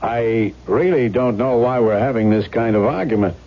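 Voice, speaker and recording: Guy playing a no-nonsense cop in an old radio play, rough husky voice, blunt questions, dull sound. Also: medium pitch, moderate pace, natural voice. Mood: brisk, insistent. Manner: blunt, probing. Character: impatient, no-nonsense male police lieutenant questioning witnesses. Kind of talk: 1970s radio drama acting.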